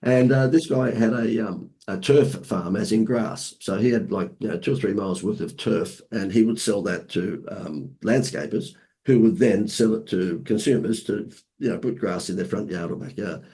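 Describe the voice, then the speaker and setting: An Australian man, hoarse from laryngitis, telling lively marketing anecdotes as a podcast guest with playful asides. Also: lowish voice, normal speed. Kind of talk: casual storytelling interview.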